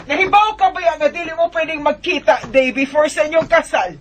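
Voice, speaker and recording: A woman's voice: high voice, a little fast, close to an everyday voice. An actress playing a scolding, disapproving mother of the bride in a radio drama, nagging and indignant. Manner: nagging, indignant, sharp-tongued. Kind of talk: Filipino radio drama acting.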